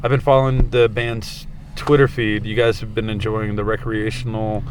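A man's voice: fairly low pitch, level tone, normal speed. Profane rambling man on a noisy street. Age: 30s. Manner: rambling, profane, laughs easily. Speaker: podcast host. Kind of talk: casual interview chat. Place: outdoors on a city street.

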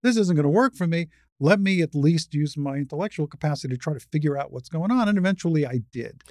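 A woman's voice: deep voice, expressive, fast, a little mellow, dry recording, clean studio sound. A woman in her sixties speaking as an interview guest, explaining things step by step in an emphatic, didactic conversational way. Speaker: interview guest, retired surgeon and advocate.

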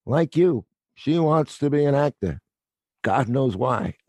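An actor playing a middle-aged male theater night watchman who is gruff but kind, with a wry, world-weary, fatherly way of talking. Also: mid-range voice, animated, slow, a little mellow, dry recording. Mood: confiding. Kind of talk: audio play acting.

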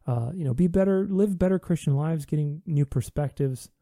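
A man's voice: on the high side, moderate pace, mellow, no room sound, clean studio sound. A man hosting a podcast, talking earnestly in a reflective solo monologue, with many restarts. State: recovering from voice loss.

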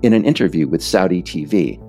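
Low, creaky American male narrator, lively delivery. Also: brisk, soft background music. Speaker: author and narrator reading own book. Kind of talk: scripted political narration.

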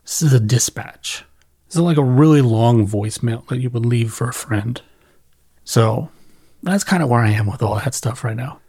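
A man's voice: medium pitch, normal speed, a little mellow, slightly rough, no room sound, studio quality. A middle-aged man hosting a solo podcast, talking casually and reflectively as he thinks aloud and corrects himself along the way. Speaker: podcast host.

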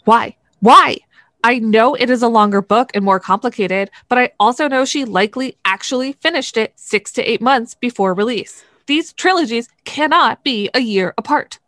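An indignant woman played by a podcaster in a dramatic reading lectures like an affronted customer. Her voice is a little high and closely recorded with a dry sound, and every point is stressed with clipped outrage. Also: normal speed, fairly bright tone, no echo, close to an everyday voice. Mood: exasperated. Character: indignant woman complaining on a blog.

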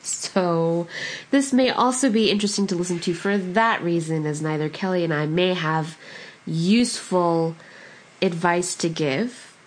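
Congested husky-voiced woman podcast host. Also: fairly low pitch, unhurried, poor audio. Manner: rambling, self-deprecating, many fillers. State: congested from a cold. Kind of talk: casual podcast chat.

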